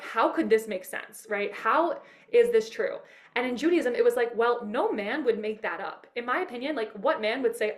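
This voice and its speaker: Woman guest, higher voice, rambling and eager, recorded in an echoey room. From Manhattan, New York. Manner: eager, rambling, many fillers and restarts. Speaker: interview guest. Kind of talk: casual personal storytelling. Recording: roomy echo.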